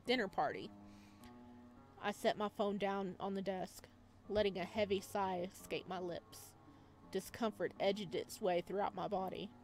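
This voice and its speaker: A woman playing through a visual novel, reading it aloud with dramatic narration, occasional stumbles and casual asides. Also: medium pitch. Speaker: video game playthrough host.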